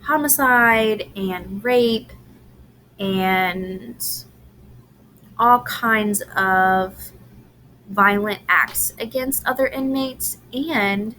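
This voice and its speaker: Slow-talking woman lecturing, slightly strained voice. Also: medium pitch, pushed and bright, a little hiss or hum, poor audio. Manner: unhurried, many "um"s and restarts. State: voice strained from allergies. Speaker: presenter on grief and incarceration. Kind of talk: recorded lecture presentation.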